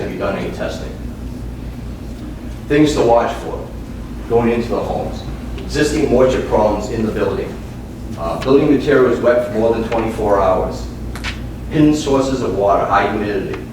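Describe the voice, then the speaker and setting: A man with a Boston accent giving a casual office presentation and reading his slides with many fillers. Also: fairly low pitch. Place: office meeting room. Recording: echoey room from far off with noise.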